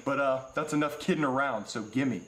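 A man's voice: medium pitch, brisk, a bit bright, reverberant, lightly acted. A man voicing a crude, pompous, menacing debt collector in a comedic puppet show. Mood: smug, impatient. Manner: pompous, profane, bossy.

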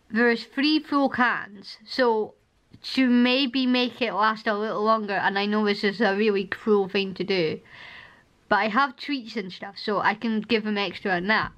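A young Scottish woman with a strained, hoarse voice, chatting in a rambling home vlog and laughing often, filmed at arm's length in her living room. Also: medium pitch, fairly bright tone. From Scotland. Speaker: vlogger. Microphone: camera at arm's length.

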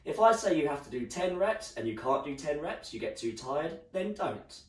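Casting note: young male fitness coach, croaky, encouraging, a bit high, recorded in a roomy living room by a far-off camera. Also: very animated, normal speed. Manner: encouraging, instructional, moving while talking. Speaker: fitness instructor. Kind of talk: leading an online workout.

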